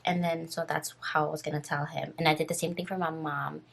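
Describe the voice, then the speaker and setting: A woman in her twenties with a raspy voice, casually telling a story on her vlog while doing her makeup, rambling and full of fillers. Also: sits low, flat delivery, brisk. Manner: rambling, filler-heavy and nervous. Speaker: vlogger.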